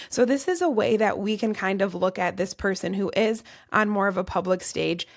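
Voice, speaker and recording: A woman with a Midwestern accent hosting a solo podcast, her voice raspy and cracking from overuse, talking through topics in an earnest, list-like way. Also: medium pitch. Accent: Midwestern American. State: strained, cracking voice. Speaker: podcast host and tarot reader. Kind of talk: solo podcast monologue on astrology.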